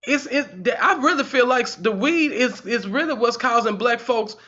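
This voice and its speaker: A man in his forties hosts a heated commentary stream, his voice sitting high for a man. He repeats himself and keeps asking the chat rhetorical questions. The sound is dull and lo-fi. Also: expressive, normal speed, bright, forceful tone. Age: 40s. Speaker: channel host. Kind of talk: livestream commentary, reading the chat.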